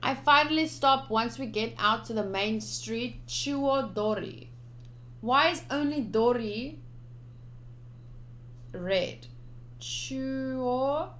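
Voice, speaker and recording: A woman's voice: fairly high pitch, slow, long pauses, a bit bright, a little hiss or hum. A woman streamer reading visual novel text aloud, with casual commentary of her own. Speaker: game streamer. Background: game music.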